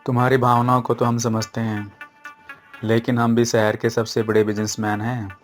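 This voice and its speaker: Storybook tycoon father, acted by a man: self-important, bargaining, medium-pitched, indulgent, faint music bed. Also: little pitch movement, normal speed, close to an everyday voice. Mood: proud, pressing. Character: wealthy businessman father, proud, pushy, indulgent to daughter.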